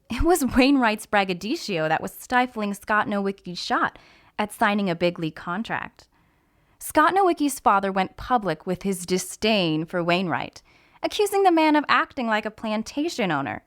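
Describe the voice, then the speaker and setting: A woman's voice: mid-range voice, fairly bright tone. A woman narrating a scripted mystery story as an actor reading aloud. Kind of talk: scripted audiobook narration with character voices.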